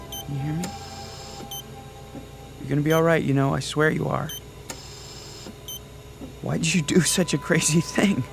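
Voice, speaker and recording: An American man acting in a radio drama as an anguished father at his young son's bedside, halting and pleading. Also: a little high, gravelly. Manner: halting, pleading, repeats words.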